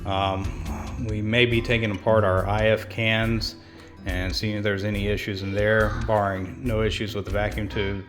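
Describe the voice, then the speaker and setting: A man's voice: lowish voice, flat delivery, moderate pace, music in the background. A man hosting a repair vlog, talking casually and unscripted in a rambling, explanatory way. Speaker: host of a radio repair channel.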